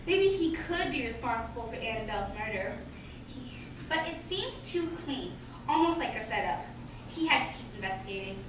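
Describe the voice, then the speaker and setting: High-voiced woman narrator in echoey room. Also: sing-song, moderate pace. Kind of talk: scripted radio play narration. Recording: very echoey room, distant mic, clear background noise.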